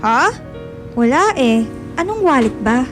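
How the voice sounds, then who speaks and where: A clingy bossy high school girl, super high pitched, radio drama with music under it. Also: big pitch swings, a little slow. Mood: feigned concern. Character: possessive, scheming teenage schoolgirl in love with her friend. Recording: music bed behind the voice.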